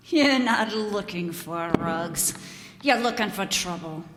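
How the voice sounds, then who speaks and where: Woman playing a slow, hard-edged shop assistant. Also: mid-range voice, expressive, over music. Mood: suspicious. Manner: blunt, guarded, deliberate. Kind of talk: old-time radio detective drama acting. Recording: noisy, reverberant hall recording.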